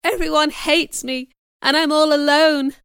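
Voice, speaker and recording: A woman's voice: high voice, big pitch swings, slow, a bit bright, clean tone, no echo, clean studio sound, full character voice. A woman voicing an outspoken, sensitive young schoolgirl in an audiobook, speaking with emotion and emphasis. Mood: distressed. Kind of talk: audiobook character voice.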